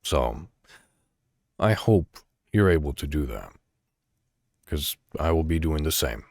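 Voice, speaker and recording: A man hosting a podcast, speaking in a reflective solo monologue straight to the listener with deliberate, emphatic phrasing. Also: extremely low pitch, expressive, darkish tone, dry recording, studio quality. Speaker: podcast host.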